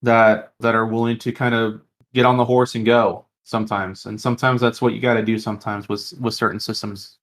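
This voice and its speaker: A man's voice: on the low side, little pitch movement, a little fast, few pauses, a bit bright. A man hosting a casual podcast discussion, speaking in an earnest, emphatic way and often repeating his phrases. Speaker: podcast host.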